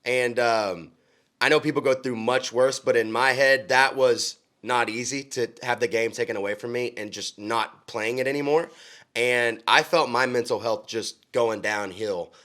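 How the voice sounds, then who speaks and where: A man's voice: mid-range voice, steady tone, moderate pace, fairly bright tone. A young man from Oklahoma, a country singer, talking earnestly in a casual interview with frequent ums and restarts. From Oklahoma, USA.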